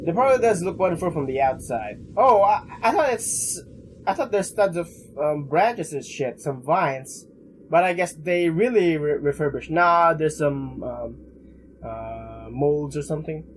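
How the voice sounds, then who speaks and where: A young man doing a let's play, haltingly reading game text aloud with casual commentary. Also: on the high side, a little hiss or hum, poor audio. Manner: halting, stumbles while reading.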